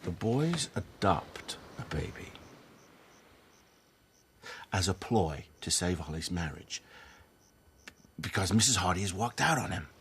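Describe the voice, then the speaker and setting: Earnest comedian, deep voice, lively swooping intonation, persuasive, optimistic, drama dialogue. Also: male voice, slow pace, long pauses, a little hiss or hum, full character voice. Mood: encouraging, scheming. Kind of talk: radio-play style dramatic dialogue.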